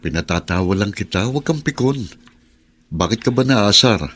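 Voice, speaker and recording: A man's voice: on the low side, lively intonation, normal speed, a bit bright, breathy edge. An actor playing a proud, wounded husband and father in a Filipino radio drama, emotional and melodramatic, with a Filipino accent. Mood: gentle, reproving. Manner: heavy, emotional, melodramatic delivery. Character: embittered lame husband and father, wounded pride.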